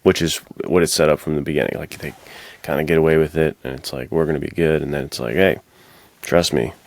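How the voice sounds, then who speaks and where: A man's voice: rumbling low, fairly even intonation, fast talker, vocal fry. A man co-hosting a podcast, discussing films in an informal, slangy, conversational way. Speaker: podcast co-host. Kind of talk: casual film discussion.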